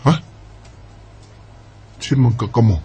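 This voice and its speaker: A man's voice: medium pitch, measured pace, mellow, breathy edge. A man playing an earnest, lovestruck young son in a Bisaya radio drama, with a Filipino accent. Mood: hesitant. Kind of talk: amateur radio drama acting.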